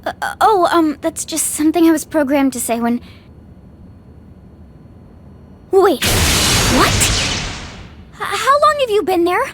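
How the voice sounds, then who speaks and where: High-voiced, flustered young woman. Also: big pitch swings, measured pace, a bit bright, full character voice. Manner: hesitant, stammering. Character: timid, flustered young woman, programmed and eager to please. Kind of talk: anime game voice acting. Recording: music behind the voice.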